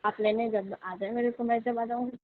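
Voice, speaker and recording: High-voiced woman on a tinny phone line. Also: fairly even intonation, brisk. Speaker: caller. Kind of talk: casual flirtatious phone chat. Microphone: phone call. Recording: thin narrow-band phone line.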